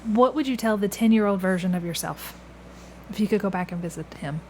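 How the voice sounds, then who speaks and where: A woman's voice: medium pitch, a little fast, a little mellow, slight background noise. A woman hosting a casual interview, asking questions in a conversational style. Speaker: podcast host, interviewer.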